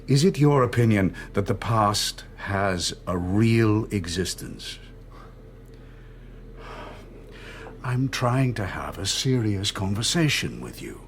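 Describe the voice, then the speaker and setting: Radio drama: middle-aged party interrogator, low register, very varied intonation, measured, menacing, condescending. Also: male voice, big pitch swings, a little hiss or hum. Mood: stern. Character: cold, controlled party interrogator and torturer, patronising and menacing.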